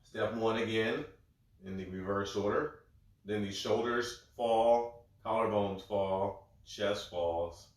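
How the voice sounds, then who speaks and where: A man guiding a breathwork session in a calm, gentle, step-by-step way, a little congested. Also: fairly low pitch, slow pace. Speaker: breathwork instructor. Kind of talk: guided breathing instruction. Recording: very echoey room.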